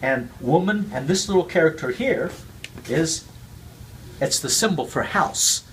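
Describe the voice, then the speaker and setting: A man's voice: a little high, animated, measured pace, a bit bright, a little hiss or hum. A man with Cantonese roots giving an earnest, casual explanation as a guest speaker, often repeating words. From Chinese heritage, raised with Cantonese.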